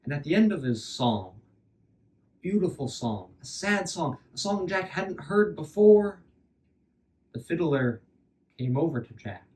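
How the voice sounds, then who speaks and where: A man telling a fairy tale aloud as a dramatic storyteller, deliberate, repeating phrases for effect. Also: a little high, big pitch swings, darkish tone, far from the mic, poor audio.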